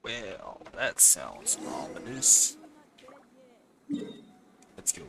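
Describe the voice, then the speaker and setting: A man streaming a video game, low-key and muttering as he reads dialogue choices aloud. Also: huge pitch swings, drawn-out words, vocal fry, crowd noise. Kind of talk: let's play, reading dialogue choices aloud.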